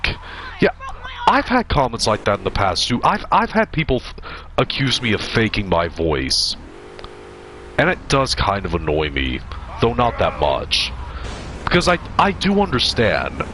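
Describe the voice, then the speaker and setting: A man in his twenties with a deep voice, chatting casually while streaming gameplay and answering his viewers. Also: measured pace, pauses often, a little hiss or hum. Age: 20s. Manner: relaxed, chatty, answering chat. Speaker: gaming streamer.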